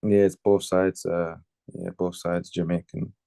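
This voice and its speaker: A man's voice: deep voice, level tone, a little slow, creaky, dark tone, no echo, studio quality. A man, chatting casually in a laid-back, slangy way in an interview. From Birmingham, England. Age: late teens. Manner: laid-back, slangy, with tags like innit and you know what I mean. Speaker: footballer being interviewed.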